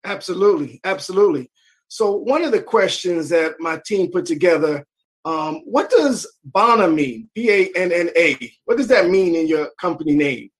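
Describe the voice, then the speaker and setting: Male interview host, high voice, brief affirmations and direct questions. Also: lively intonation, normal speed, slightly rough.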